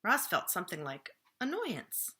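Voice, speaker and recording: Bright-voiced woman reading a children's story. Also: medium pitch, wildly swooping pitch. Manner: dramatic pauses, slowing and hushing for suspense. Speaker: children's librarian reading aloud. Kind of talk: expressive children's storybook narration.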